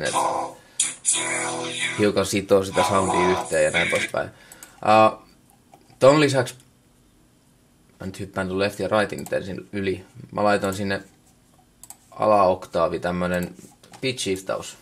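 Low-voiced Finnish man, casual tutorial talk. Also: fairly even intonation, long pauses, a bit bright, a little hiss or hum. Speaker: music production tutorial maker. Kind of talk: casual unscripted software walkthrough. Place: indoors at a computer workstation. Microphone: camera microphone.

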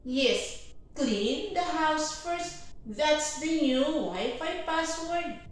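A woman's voice: high voice, lively intonation, extremely slow. A woman playing a stern mother in a comedy skit, speaking in a firm, repetitive way. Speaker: vlogger playing the mom. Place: home indoors. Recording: echoey room, far from mic.

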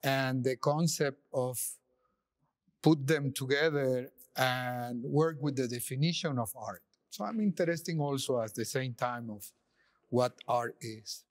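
Older man, 60s, halting guest artist, dry studio sound. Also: medium pitch, dry recording. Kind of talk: panel conversation.

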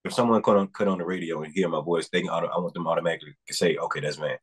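A man's voice: low voice, fairly even intonation, very fast, breathy edge. A young man, a guest singer, talking earnestly over a video call from a room at home, rambling a bit with restarts. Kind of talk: casual interview. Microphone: video call webcam.

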